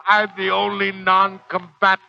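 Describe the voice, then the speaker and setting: An actor playing a proud, embittered old Marine sergeant in a 1940s American radio drama, with gruff, slangy, uneducated speech. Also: male voice, high voice, completely flat delivery, moderate pace. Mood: bitter, rambling. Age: older. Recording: dull 1940s radio recording, faint music.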